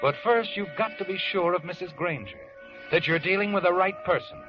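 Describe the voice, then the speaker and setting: A man's voice: high-pitched, a little fast, natural voice. Man as sardonic suspense narrator over music. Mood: sly, taunting. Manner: insinuating, with needling tag questions. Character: sardonic, all-knowing male narrator taunting the protagonist. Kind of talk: 1940s radio suspense narration in second person. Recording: muffled vintage radio recording. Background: dramatic background music.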